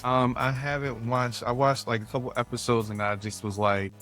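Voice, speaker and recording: A man's voice: medium pitch, flat delivery, normal speed, fairly bright tone. A man in a mid-range voice hosts a casual interview, affirming his guest and winding through his questions. He talks in a loose, conversational way. Faint music sits underneath. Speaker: host.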